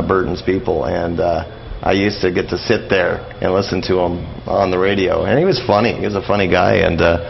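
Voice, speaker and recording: A middle-aged man giving a casual radio interview, explaining and reminiscing in a halting, rambling way that often circles back on phrases. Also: sits low, gravelly. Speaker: interview guest, old-time radio enthusiast. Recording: dull, lo-fi radio interview with noise.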